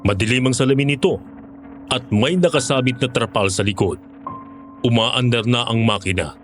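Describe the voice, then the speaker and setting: The voice is a Filipino man's, at a middling pitch. He reads a scripted first-person horror story as its narrator. Music plays behind him. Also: moderate pace. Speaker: narrator of a horror audio drama.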